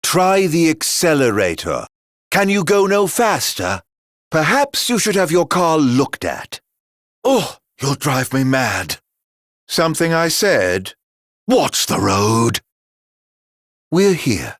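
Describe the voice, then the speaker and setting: An actor voicing a sly, mock-courteous villain in a video game, with a florid, teasing, theatrical drawl. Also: male voice, on the high side, very animated, slow pace, a bit bright, no room sound, studio quality, exaggerated voice. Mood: goading.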